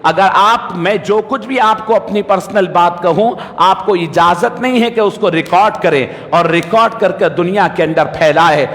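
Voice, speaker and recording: High-pitched male preacher in an echoing hall. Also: normal speed, hardly any pauses, fairly bright tone. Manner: admonishing, exhorting, rhetorical questions. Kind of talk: sermon in a mosque. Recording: long hall reverb, amplified preaching.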